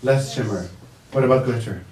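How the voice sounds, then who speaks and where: A man's voice: medium pitch, moderate pace, darkish tone. A man working as a makeup artist, giving a live product demonstration to press in a chatty, joking way with many fillers. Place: large indoor press event. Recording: echoey room from a distance.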